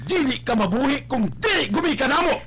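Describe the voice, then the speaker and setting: A man's voice: very high voice, expressive, a little fast, husky, full character voice. A man with a Filipino accent acting a domineering, snobbish rich father in a melodramatic radio drama, scornful and given to bellowing. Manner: theatrical, scornful, bellowing outbursts. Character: domineering, snobbish, wealthy father raging at his daughter. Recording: live stream with loud music bed. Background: loud dramatic music.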